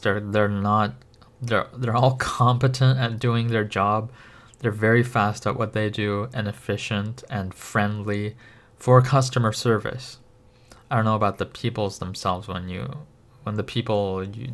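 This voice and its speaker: A young man from Korea talks to his livestream viewers in a fairly low voice. He speaks slowly, pausing often and restarting his sentences as he thinks. The tone is casual and conversational. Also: fairly bright tone. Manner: slow, halting, thinking aloud with many pauses. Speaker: livestream host. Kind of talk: casual livestream chat reading viewer questions.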